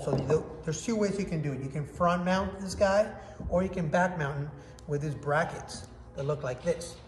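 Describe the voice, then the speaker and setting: A man in his thirties giving an upbeat, casual product review to a phone camera in a workshop. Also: on the high side. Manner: upbeat, enthusiastic, demonstrating with hands. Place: indoor workshop with hard walls. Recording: echoey room, faint background noise.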